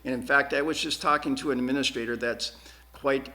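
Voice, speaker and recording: A man speaks as a candidate at a public forum in a medium-pitched voice. He is earnest and insistent, and his delivery is halting, doubling back on words as he argues. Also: steady tone, sharp tone. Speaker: school board candidate. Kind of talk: answering questions at a candidate forum. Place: TV studio.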